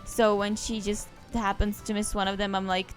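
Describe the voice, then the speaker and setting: Woman streaming a game, rambly chatty asides, mid pitch, faint game music behind. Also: level tone, moderate pace. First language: Czech. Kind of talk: casual let's-play commentary.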